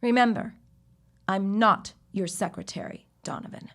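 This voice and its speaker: A woman's voice: mid-range voice, a little slow, creaky, a bit bright. An actress playing a strong-willed, well-to-do 1910s lady with formal, firm phrasing in an audio drama. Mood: firm, indignant. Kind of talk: period audio drama acting.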